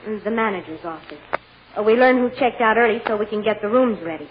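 An actress plays a working-class chambermaid in an old radio courtroom drama. She is plain-spoken and fussy, hesitating as she answers literally in a medium-pitched voice. The recording is dull, with faint noise behind her. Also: expressive, fast talker, close to an everyday voice. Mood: earnest, hesitant. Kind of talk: 1940s radio drama acting.

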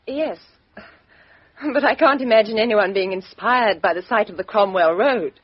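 A woman's voice: mid-range voice, moderate pace, close to an everyday voice. A woman playing a bitter, outspoken young woman. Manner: crisp, cold, outspoken. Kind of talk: 1950s radio drama acting. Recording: dull old radio recording.

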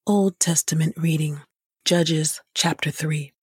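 Husky woman reading scripture, close and dry. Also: sits low, steady tone, slow, a little mellow, no echo. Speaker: host and reader of a Bible podcast.

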